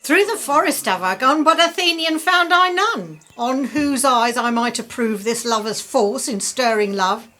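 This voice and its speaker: A woman's voice: on the high side, expressive, a little slow, hardly any pauses, pushed and bright, soft background music. A woman playing a mischievous fairy sprite in a Shakespearean radio play, speaking eager rhythmic verse. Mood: searching, mischievous.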